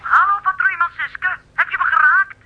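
A woman playing a brisk headquarters radio dispatcher in a children's audio drama, giving clipped official calls. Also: extremely high pitch, fairly even intonation, heavy background noise. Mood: urgent. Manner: clipped official call-outs. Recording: dull lo-fi radio link.

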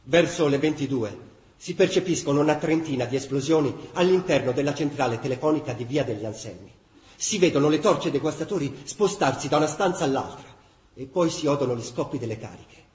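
An actor playing a sober chronicler of war events, narrating a scripted radio drama. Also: male voice, a little high, animated, brisk, a little mellow, natural voice. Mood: grave, factual. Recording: very roomy echo.

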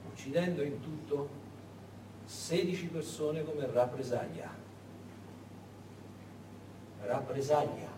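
A man performing a dramatic theatrical monologue, emphatic and deliberate, repeating words and leaving heavy pauses. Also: on the high side. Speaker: solo stage storyteller. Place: echoey performance space, far from the mic. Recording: echoey, noisy, distant stage recording.

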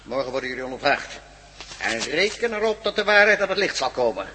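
A menacing male prison guard played by an actor in a radio drama, ringing with long reverb. He sneers and threatens in a fairly high, swinging voice. There is clear noise in the recording. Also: very animated, brisk, natural voice. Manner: taunting, threatening, authoritative. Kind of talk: Dutch radio drama acting.

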